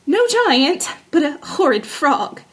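A woman's voice: high voice, very animated, slow pace, voice pushed up, full character voice. A woman voicing a spoiled, fretful young princess in a fairy tale, with an emotional, girlish delivery. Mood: anxious, explaining. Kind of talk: fairy tale storybook character voice.

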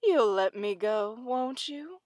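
A woman's voice: fairly high pitch, animated, takes it slowly, darkish tone, clean studio sound. Lady doing a sneaky greedy boy cat in a fairy tale, offhand n drawly, no room echo. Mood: coaxing. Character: sly, greedy, smooth-talking male cat.

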